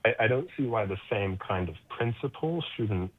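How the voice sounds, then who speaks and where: A man's voice: lowish voice, animated, normal speed, dry recording. An American man from Connecticut calling in with a question, hesitant with many fillers but scholarly. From Connecticut, USA. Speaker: caller. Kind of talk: phone-in question. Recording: tinny phone line.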